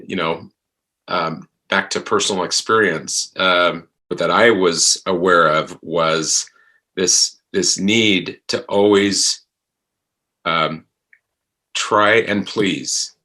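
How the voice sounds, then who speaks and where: A man's voice: low voice, takes it slowly, sharp tone. A man in casual reflective discussion, speaking tentatively and searching for his words. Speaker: actor discussing his podcast drama.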